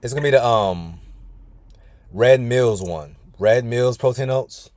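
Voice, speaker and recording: A man's voice: mid-range voice, slow pace, a little mellow, no room sound. A man coaching a weight-loss group on a video call from his car, talking casually and often calling the group "y'all". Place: inside his parked car.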